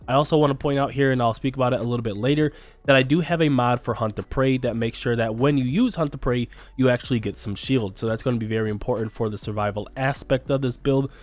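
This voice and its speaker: A young man, a bit raspy from being sick, walking viewers through a game build in a friendly, explanatory voice-over. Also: mid-range voice. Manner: friendly, explanatory, addresses viewers as you guys. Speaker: gaming channel host.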